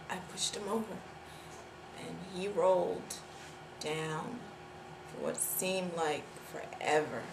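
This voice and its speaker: Actress doing a guilty woman confessing in a monologue, slow n halting, repeats herself, echoey noisy room recording. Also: fairly high pitch. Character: guilt-ridden woman confessing a long-hidden fatal accident. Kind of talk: dramatic audition monologue. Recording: echoey room with clear background noise.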